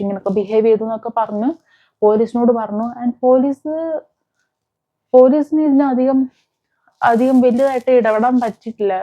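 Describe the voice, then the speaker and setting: Female crime-channel host, fairly high voice, chatty explanatory storytelling with some English mixed in, slightly muffled lo-fi recording. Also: dark tone. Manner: conversational, explanatory, mixing in English words. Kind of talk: informal true-crime storytelling to camera or mic. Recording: dull, muffled lo-fi sound.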